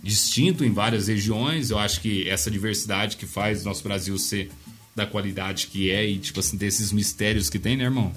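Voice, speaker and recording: A man's voice: lowish voice, normal speed, a bit bright. A Brazilian man in casual chat, joking and teasing, with folksy slang. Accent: Brazilian Portuguese.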